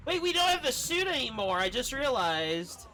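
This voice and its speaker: A woman streaming a game, giving expressive, casual commentary and reading dialogue aloud, sometimes swearing. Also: sits high, normal speed. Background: faint video game music.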